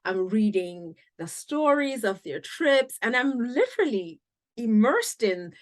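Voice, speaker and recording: A woman hosting a podcast who speaks slowly and draws out her phrases. Her pitch swings widely as she talks, which makes her sound enthusiastic and animated in a friendly interview. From United States. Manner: enthusiastic, lively, drawn-out phrasing. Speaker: podcast host.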